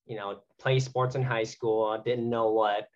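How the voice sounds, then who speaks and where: A man's voice: lowish voice, steady tone, a little slow, lo-fi sound. A young man from Vietnam, a graduate student guest, talking earnestly and at length with many fillers over a video call. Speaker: guest, athletic training student. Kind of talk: casual remote interview.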